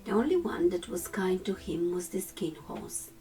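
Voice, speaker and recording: A woman narrating a children's storybook as a storytime reader, with measured, deliberate phrasing. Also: on the low side, big pitch swings, warm tone, distant mic, faint music behind.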